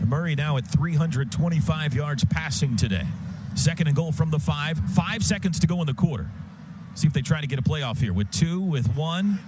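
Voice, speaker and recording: An American man calling live football on the radio in clipped phrases, lifting with excitement as plays open up. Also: fairly high pitch, moderate pace. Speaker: radio play-by-play announcer. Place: stadium radio booth. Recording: loud stadium noise behind broadcast booth. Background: loud outdoor stadium ambience.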